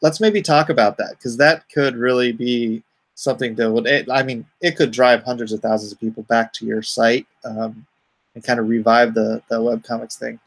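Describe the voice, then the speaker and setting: A middle-aged man streaming live from home, reading chat aloud and answering it in an easygoing, even-tempered way. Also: medium pitch, normal speed, poor audio. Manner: calls himself soothing and even-tempered; reads chat comments aloud and answers them.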